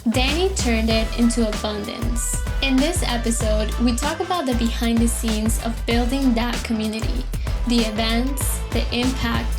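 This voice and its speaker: A woman hosting a podcast, reading a scripted introduction and then chatting softly and casually with her guest. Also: on the high side, normal speed. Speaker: podcast host. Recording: music bed under the voice.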